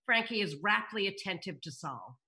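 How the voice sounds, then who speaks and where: A woman's voice: medium pitch, expressive, moderate pace, bright, forceful tone. A woman reading out stage directions as scripted narration during a table read on a video call. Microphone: computer on video call.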